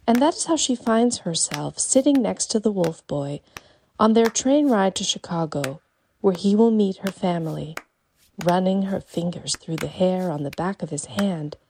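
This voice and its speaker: A woman's voice: mid-range voice, gravelly, darkish tone. A woman reading a literary short story as scripted narration. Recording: faint background noise.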